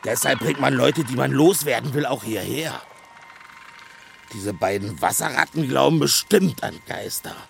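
An actor playing a stocky, hearty, talkative dwarf in a children's audio drama, with a gravelly, creaky voice and a blustery, excitable manner. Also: male voice, mid-range voice, moderate pace, faint music behind. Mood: chatty, blustery. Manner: blustery, excitable, colourful speech.

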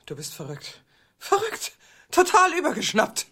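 An actress playing an anxious, deceitful middle-aged wife in a radio drama, breathless and agitated. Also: female voice, sits high, huge pitch swings, a little slow, fairly bright tone, exaggerated voice. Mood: indignant.

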